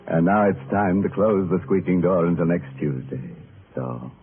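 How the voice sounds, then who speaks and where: An actor playing a gleeful, mock-sinister horror host with a sardonic, drawn-out theatrical delivery in 1940s radio style. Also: male voice, low-pitched. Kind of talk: 1940s radio horror hosting with ghoulish puns. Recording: muffled vintage 1940s radio, organ music.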